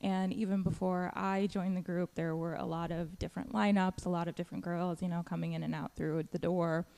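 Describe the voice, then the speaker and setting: A woman from Queens who sings in a girl group, answering interview questions in a measured, thoughtful way with some hesitation. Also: lowish voice, level tone, gravelly, dark tone. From Queens, New York. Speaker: singer in a girl group, guest.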